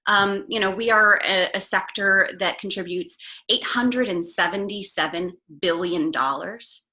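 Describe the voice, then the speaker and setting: A woman's voice: medium pitch, measured pace, bright, forceful tone, poor audio. A woman guest in an interview, an arts advocate who speaks earnestly with many "um" fillers and restarts. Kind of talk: casual interview chat.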